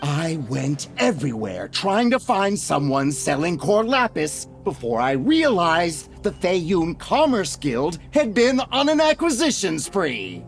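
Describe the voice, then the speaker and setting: A man's voice: fairly high pitch, big pitch swings, slow, few pauses, a little hiss or hum, exaggerated voice. A man playing a pompous, accusatory merchant villain in a video game. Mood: indignant, accusing. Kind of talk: video game character acting.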